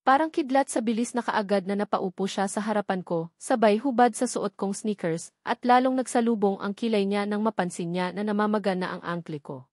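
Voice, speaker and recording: Female narrator for first-person romance fiction, scripted read, mid-range pitch, dry recording. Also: brisk, a little mellow, no echo. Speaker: story narrator.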